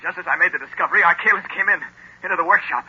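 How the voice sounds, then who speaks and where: Tense, high-pitched tormented lieutenant. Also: male voice, pushed and bright, slightly rough, exaggerated voice. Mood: urgent relief. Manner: halting, earnest, desperate. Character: tormented young male lieutenant freed from a spell. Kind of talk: 1930s-40s radio drama acting. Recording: muffled old radio broadcast recording.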